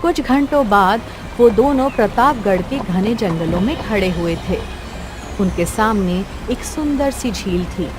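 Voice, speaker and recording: A woman's voice: mid-range voice, expressive, unhurried, music in the background. A woman reading scripted narration for a cartoon folk story.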